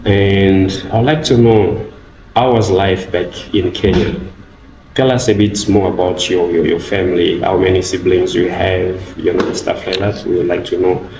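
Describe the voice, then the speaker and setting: A man hosting an interview, with a warm, encouraging manner and many murmured backchannels. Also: low voice, steady tone, measured pace. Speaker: interview host. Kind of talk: casual interview. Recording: roomy and distant with background noise.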